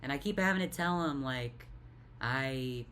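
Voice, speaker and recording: A young woman with a deep voice talks in a tired, halting way, stuttering and repeating words in a casual confessional vlog. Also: fairly bright tone, slight background noise. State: tired, worn out. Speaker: vlogger.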